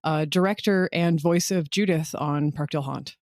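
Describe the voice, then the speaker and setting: A woman's voice: low-pitched, normal speed, a little mellow, no room sound, clean studio sound. A woman chatting casually as an interview guest about her own creative work. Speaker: podcast guest, audio drama writer and director.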